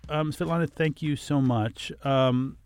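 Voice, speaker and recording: A man's voice: on the high side, measured pace, darkish tone, slight background noise. A man hosting a podcast, introducing and interviewing a guest in a friendly, conversational way with occasional ums. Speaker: podcast host.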